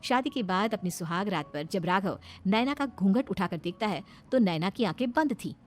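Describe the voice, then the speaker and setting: A woman's voice: mid-range voice, animated, moderate pace, a little hiss or hum. A woman reading scripted narration as a storyteller.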